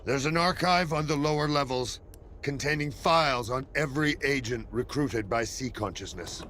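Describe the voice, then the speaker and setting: An actor playing a firm, purposeful military colonel in a video game cutscene, speaking deliberately and with authority. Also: male voice, mid-range voice, bright, forceful tone, a little hiss or hum, lightly acted. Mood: commanding.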